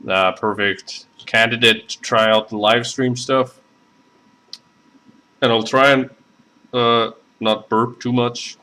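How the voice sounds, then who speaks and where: A man's voice: fairly low pitch, bright, forceful tone. A man with a Southern accent chatting casually and rambling, full of fillers, while building a model at his hobby desk. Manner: rambling, self-deprecating, many fillers. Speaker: scale model builder streaming. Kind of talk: casual live stream chat.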